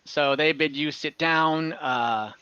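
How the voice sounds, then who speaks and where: A man acting as game master in an online game, narrating off the cuff in a halting, conversational way. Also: on the high side, sharp tone, clear, resonant tone, lo-fi sound. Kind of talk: improvised narration and table talk in an online tabletop game.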